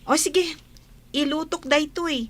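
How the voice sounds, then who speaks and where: A woman acting a village woman in a radio comedy drama, speaking slowly and expressively. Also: high-pitched. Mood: friendly, chatty.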